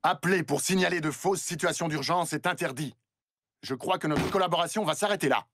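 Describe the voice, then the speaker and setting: A man's voice: fairly high pitch, brisk, fairly bright tone, natural voice. A man in a French cartoon dub playing a firm, upright, fatherly emergency chief, with music behind him. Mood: stern.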